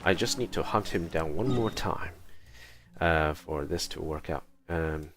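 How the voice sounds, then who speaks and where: A man's voice: rumbling low, a little slow, very noisy. A man giving casual livestream commentary on a video game, muttering to himself as he plays. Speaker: livestream host. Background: video game music.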